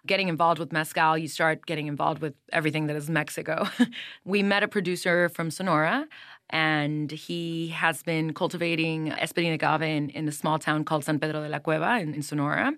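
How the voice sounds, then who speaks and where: A woman who runs a mezcal brand, answering interview questions in a measured, explanatory way with small restarts. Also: deep voice, fairly even intonation, fairly bright tone, dry recording, studio quality.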